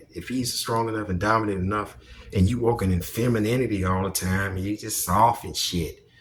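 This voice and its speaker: A man with a deep, projecting voice delivers blunt, profane commentary in a preachy, emphatic way. A faint hiss sits under the recording. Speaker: commentary vlogger. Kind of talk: opinionated monologue commentary.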